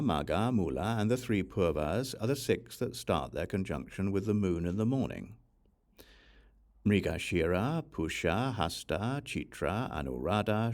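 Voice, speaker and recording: A man with a low voice reads a scripted religious text as an audiobook narrator. His delivery is measured and formal, with lively rises and falls in pitch as he recites. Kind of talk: scripted reading of a Buddhist scripture.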